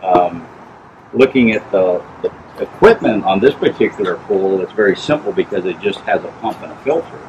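A middle-aged man, a pool inspector, explains repairs in a plain, measured way with frequent fillers, outdoors by a backyard pool. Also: deep voice, animated, muffled recording, noisy background. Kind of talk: on-site explanation to camera.

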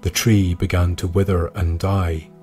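A man reading scripted historical tales as a podcast narrator, measured and with dramatic pauses. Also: low voice, flat delivery, a little mellow, faint music behind.

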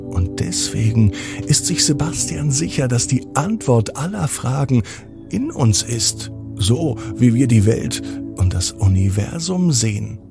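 A man narrating a bedtime story for children in a deliberate, expressive manner with drawn-out pauses. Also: medium pitch, creaky. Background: music.

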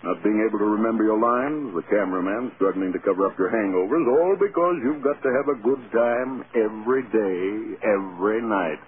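A man's voice: on the low side, a little fast, hardly any pauses, lightly acted. An actor playing an earnest, worried, fatherly film producer in an old-time radio drama. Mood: earnest, regretful. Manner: earnest, concerned. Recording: tinny, noisy vintage radio broadcast.

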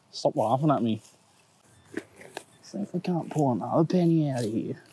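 A man in his thirties with an Australian accent, chatting casually to viewers while metal detecting outdoors, excitable and quick to laugh at his finds. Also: mid-range voice, a little hiss or hum. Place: outdoors on a grassy park lawn. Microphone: action camera on the body or set on the ground.

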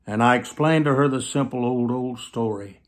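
A man in his seventies preaching through personal stories, speaking earnestly to camera with emphatic, drawn-out phrases. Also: mid-range voice. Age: 70s. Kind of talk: sermon storytelling told to camera. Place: small plain indoor room, seated.

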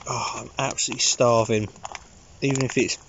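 A man in his thirties with an English accent, chatting casually to camera in a low-key, rambling, informal way as an outdoor vlogger. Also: fairly even intonation, brisk, vocal fry, a bit bright, dull audio, a little hiss or hum. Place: inside a small tarp shelter outdoors at night.

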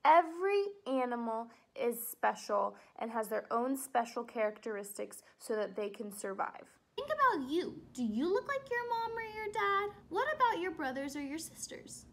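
A woman's voice: high-pitched, big pitch swings, normal speed, few pauses, a bit bright. A young woman teacher reading aloud to young children and asking them questions, stressing and stretching key words. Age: young adult. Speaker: elementary school teacher. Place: small room at home, indoors.

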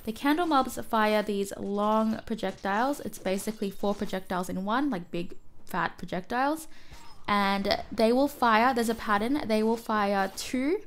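A woman with a cough-roughened voice narrating a mobile game guide, explaining enemy patterns and often correcting herself. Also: medium pitch, normal speed, slight background noise. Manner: explanatory, self-correcting, apologetic. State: hoarse from a cough. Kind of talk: gameplay guide voice-over.

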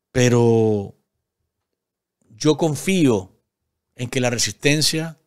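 A middle-pitched man in his forties speaks with a Nicaraguan accent as the host of a live political commentary show. He is emphatic, repeating phrases for weight and posing rhetorical questions. He talks into a microphone at a studio desk. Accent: Nicaraguan Spanish. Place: small indoor studio at a desk.

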